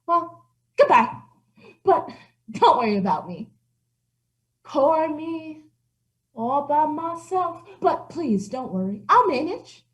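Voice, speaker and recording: A woman voicing an old female alley cat who complains with whiny, drawn-out sighs. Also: mid-range voice, animated, natural voice. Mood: self-pitying. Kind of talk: children's story acting.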